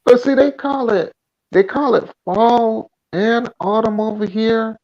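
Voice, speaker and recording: Chatty man, higher voice. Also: steady tone, unhurried, a little mellow, lo-fi sound. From Virginia, USA. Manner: easygoing, chatty, folksy. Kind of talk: casual chat.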